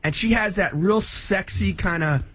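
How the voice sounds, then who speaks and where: A man with a fairly high voice chats casually on the radio. He talks haltingly and repeats words. The recording is a little dull. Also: a bit bright, dry recording.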